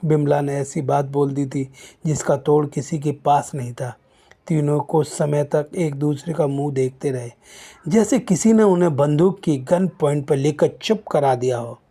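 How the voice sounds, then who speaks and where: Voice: medium pitch, a little slow, dark tone. A storyteller narrating a moral family story, occasionally stumbling and repeating words while reading.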